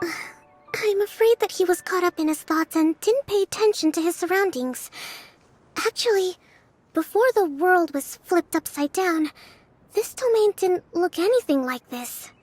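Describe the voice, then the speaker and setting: A woman playing a gentle young priestess in a video game, speaking in a polite, measured, formal manner. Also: very high voice, expressive. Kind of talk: video game cutscene acting. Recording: background music behind dialogue. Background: game music.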